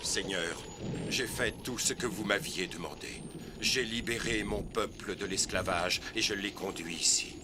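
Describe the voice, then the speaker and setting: A man playing a solemn, devout leader of his people in a French cartoon film, speaking in a measured, declamatory way. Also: on the low side, noisy background, lightly acted. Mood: weary, troubled prayer. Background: orchestral music.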